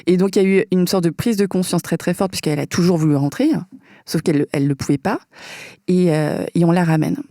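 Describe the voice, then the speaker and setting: A woman with a warm, mellow voice talks as a guest in a relaxed podcast conversation. She gropes for words and pauses often with fillers. The sound is dry and close-miked. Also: fairly low pitch, no room sound. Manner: hesitant, searching for words with frequent fillers. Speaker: guest filmmaker. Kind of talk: casual conversation.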